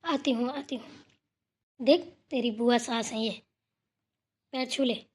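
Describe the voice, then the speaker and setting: Lady doing an old village granny for a cartoon, high pitched, fussy and scolding but motherly. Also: fairly even intonation, slow pace, a little mellow, husky. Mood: concerned, motherly. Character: grumbling but caring elderly village mother-in-law and grandmother. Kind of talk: Hindi cartoon family drama acting.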